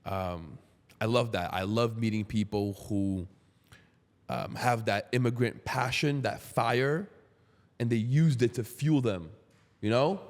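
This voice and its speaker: A man's voice: mid-range voice, takes it slowly. Man hosting a podcast, reverberant room. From Brazil, moved to Queens, New York at seven. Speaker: podcast host. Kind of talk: casual podcast interview. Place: indoor podcast studio. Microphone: close boom-arm studio microphone. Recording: roomy studio with long reverb.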